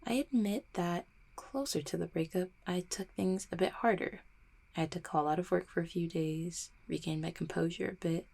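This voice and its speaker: A woman's voice: on the low side, darkish tone. A woman acting a guarded, heartbroken small-town mail carrier in an audio roleplay, stammering and blaming herself. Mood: defensive, guarded. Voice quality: husky, creaky. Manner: halting, stammering, self-deprecating. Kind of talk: roleplay audio drama, intimate one-sided conversation.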